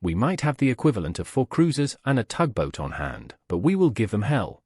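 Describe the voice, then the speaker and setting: A man's voice: medium pitch, huge pitch swings, fairly quick, darkish tone, husky, dry recording, studio quality. A man voicing a steadfast, courteous human warship officer in a story, speaking in formal military phrases. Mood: loyal, defiant. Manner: formal military radio speech.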